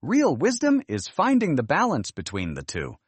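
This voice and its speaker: Man playing a kindly, lively storybook grandmother. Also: fairly high pitch, moderate pace, breathy edge, no room sound, full character voice. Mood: proud, teaching. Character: kindly wise grandmother telling lessons.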